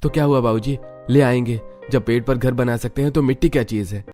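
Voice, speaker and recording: A man's voice: mid-range voice, brisk, mellow, soft background music, natural voice. A man voicing an earnest young husband and devoted son in a cartoon story, in an exclamatory manner. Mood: eager. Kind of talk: Hindi cartoon story dialogue.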